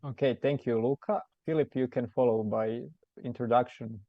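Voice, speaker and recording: Moderator with a dull, dry sound. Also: male voice, mid-range voice, fairly even intonation, moderate pace, dry recording. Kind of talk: panel moderation.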